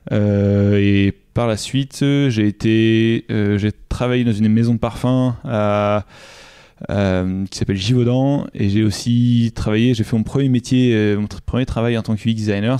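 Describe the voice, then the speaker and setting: A man's voice: fairly low pitch, vocal fry, mellow, clean tone, dry recording, clean studio sound. A young man from Normandy, a UX designer, answering interview questions in a casual, hesitant way with many fillers and restarts. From Normandy, France. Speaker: UX designer guest.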